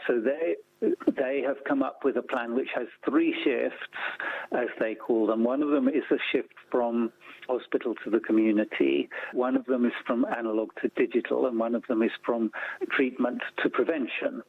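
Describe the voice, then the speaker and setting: Heard down a thin, narrow phone line, a man answers as an interview guest. His voice is husky and creaky, and he lays out his points in a measured, orderly way. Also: mid-range voice, fairly even intonation, no room sound. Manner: measured, structured, enumerating points. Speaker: interview guest, report author and organisation founder. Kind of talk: explanatory radio interview. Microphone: telephone.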